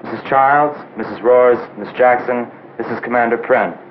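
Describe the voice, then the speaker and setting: An actor playing a polite naval aide making formal, courteous introductions in a 1960s training film. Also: male voice, mid-range voice, moderate pace, lightly acted. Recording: dull old film soundtrack, faint noise.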